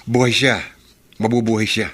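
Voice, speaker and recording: Man acting local guide, Filipino accent, medium pitch, earnest, apologetic, defensive, radio horror drama. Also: little pitch movement, moderate pace, a bit bright, close to an everyday voice. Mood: remorseful, earnest.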